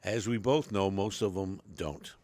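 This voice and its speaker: A man hosting an interview show, asking measured questions and paying his guest compliments. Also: deep voice, darkish tone, no echo. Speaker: show host.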